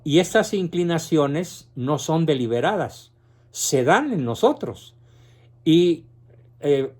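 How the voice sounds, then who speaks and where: A man giving a philosophy lecture, explaining deliberately and lifting his voice to stress key words. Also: fairly high pitch, a little mellow. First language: Spanish. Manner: slow, deliberate explanation with rising emphasis.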